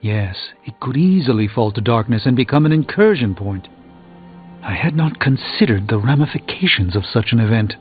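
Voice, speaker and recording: Casting: male actor for grave wizard mentor in a game, mid-pitched, formal and philosophical, heard through a narrow tinny band. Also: lively intonation, normal speed, lightly acted. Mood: solemn. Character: grave, learned, reassuring adult male sorcerer. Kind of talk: scripted video game character dialogue. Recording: tinny band-limited audio with music.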